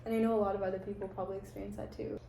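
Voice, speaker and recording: A woman's voice: mid-range voice, flat delivery, rapid-fire, gravelly, mellow, roomy sound, rough recording. A young American woman hosting a casual chat, telling stories about her life in an earnest, reflective way. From United States. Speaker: host.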